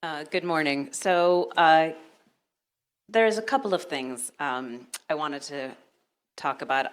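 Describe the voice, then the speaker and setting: A woman from Sudan, a gynecologist speaking on a conference panel, who talks in a measured way and leads the audience through questions. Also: low-pitched. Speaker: panelist, OB-GYN. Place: lecture hall.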